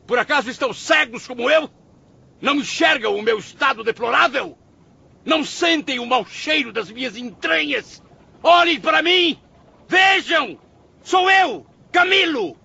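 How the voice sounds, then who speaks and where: A man's voice: extremely high pitch, creaky, bright, forceful tone, slightly rough, full character voice. A man playing the anguished, confused spirit of a writer after suicide, in a theatrical, pleading radio drama style. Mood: desperate. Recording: muffled, noisy radio drama recording.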